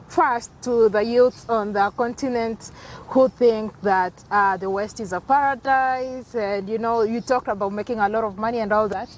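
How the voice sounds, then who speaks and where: A woman hosting an interview, thanking her guest and asking halting questions with fillers. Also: a little high, a little hiss or hum. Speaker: host.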